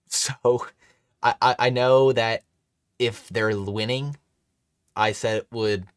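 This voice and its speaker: A man hosting a casual live stream, chatting loosely with many fillers and restarts while reading chat. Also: fairly low pitch. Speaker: livestream host.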